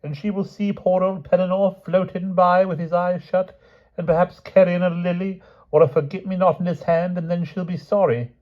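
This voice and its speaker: A man's voice: sits high, fairly even intonation, normal speed, muffled recording, voice pushed up, close to an everyday voice. A man voicing a dithering, lovesick old king who is fretful and self-pitying, with flustered, whining speech. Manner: flustered, whining, trailing "what?".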